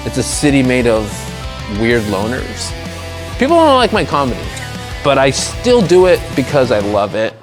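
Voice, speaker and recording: A man from Los Angeles talking about his comedy in an interview. He is deadpan, blunt and self-deprecating. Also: mid-range voice, normal speed. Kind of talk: interview talk. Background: guitar music.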